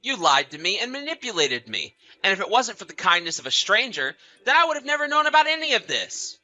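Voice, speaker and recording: A man's voice: high-pitched, sing-song, a little fast, pushed and bright, faint music behind, full character voice, poor audio. A man playing a betrayed husband in an acted audio drama, bitter, sarcastic and accusatory. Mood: vengeful, bitter. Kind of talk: acted audio story dialogue and narration.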